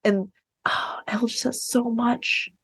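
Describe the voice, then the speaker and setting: A woman's voice: fairly high pitch, fairly even intonation, a little slow, fairly bright tone, breathy edge. A woman co-hosting a book discussion podcast, chatting casually and arguing her opinions insistently. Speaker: podcast co-host.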